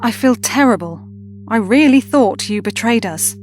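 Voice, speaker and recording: A woman's voice: fairly high pitch, animated, normal speed, a little mellow, soft background music, close to an everyday voice. An actress playing a loyal, earnest female companion to a time-traveller in an audio drama. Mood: remorseful.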